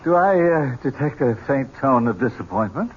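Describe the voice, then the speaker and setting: Man playing a genial, very animated teasing uncle. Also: medium pitch, moderate pace, natural voice. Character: Uncle Harry, genial, teasing, sporting older relative. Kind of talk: 1970s radio drama acting. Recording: muffled, faintly noisy old radio recording.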